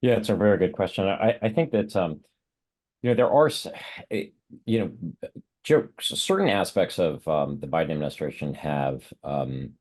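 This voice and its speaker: A man's voice: deep voice, expressive, moderate pace, a little mellow. A man on a panel answering a question, thinking aloud with fillers.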